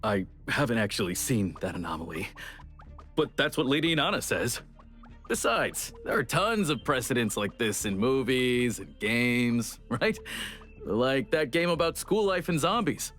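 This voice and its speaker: Animated, talkative male theorist. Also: mid-range voice, unhurried, faint music behind. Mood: playful. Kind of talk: video game voice acting.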